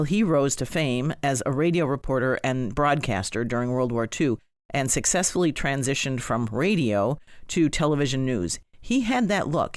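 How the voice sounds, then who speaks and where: A man's voice: mid-range voice, no echo, studio quality. A man hosting a podcast, giving conversational commentary with wry, informal asides. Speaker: podcast host.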